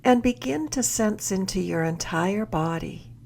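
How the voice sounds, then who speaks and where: A woman leading a guided meditation as a mindfulness mentor, with gentle, measured instruction and soft, breathy phrase endings. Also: medium pitch, lively intonation.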